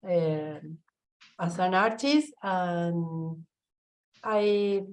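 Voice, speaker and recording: Slow Argentine woman speaking English. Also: lowish voice. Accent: Argentine Spanish. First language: Spanish. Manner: halting, searching for words in English. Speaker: guest speaker, visual artist. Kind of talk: online summit presentation. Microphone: video call.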